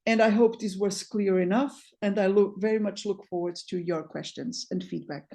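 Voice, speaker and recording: A woman's voice: mid-range voice, steady tone, warm tone. A woman from Portugal, a native Portuguese speaker with a Portuguese accent, giving an academic talk over a video call, reading from notes with frequent restarts. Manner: halting, many restarts. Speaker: guest lecturer.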